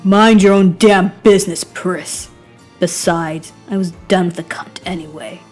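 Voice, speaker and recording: A woman's voice: fairly low pitch, steady tone, unhurried, a little mellow, soft background music, close to an everyday voice. A woman voicing a cruel, goading, sinister young man in an amateur game. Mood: contemptuous.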